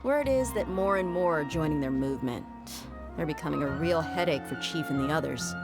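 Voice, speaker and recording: A woman's voice: low voice, animated, brisk, over music. A woman playing a tough, pragmatic young bodyguard in a video game, blunt and casual in a streetwise way. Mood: matter-of-fact, wary.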